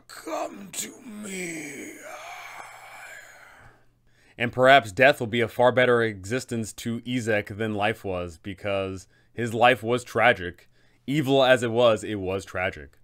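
A man's voice: medium pitch, a little slow, fairly bright tone. Emphatic man hosting a game guide. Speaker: host of a tabletop game master guide. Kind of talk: enthusiastic explainer to camera.

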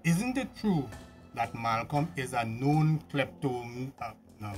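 An actor with a Tobagonian accent playing a pompous, badgering police officer interrogating someone in a radio drama. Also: male voice, medium pitch, lively intonation, slow, a little mellow, faint music behind. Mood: insistent, accusing.

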